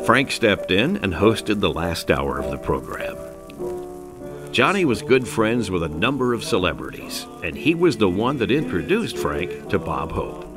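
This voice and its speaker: A man's voice: on the low side, sing-song, measured pace, over music. A man reading scripted narration for a documentary.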